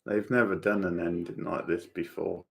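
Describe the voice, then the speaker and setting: A man's voice: deep voice, steady tone, normal speed, gravelly, fairly bright tone. A man hosting a livestream watchalong, giving casual commentary and chatting with viewers. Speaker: livestream host.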